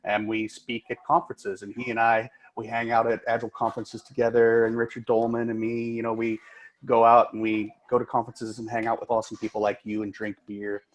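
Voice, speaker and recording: An American man, an agile coach, co-presenting in a relaxed, chatty way on a video call, with many "uh" fillers. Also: medium pitch, a little fast, low-quality recording. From United States.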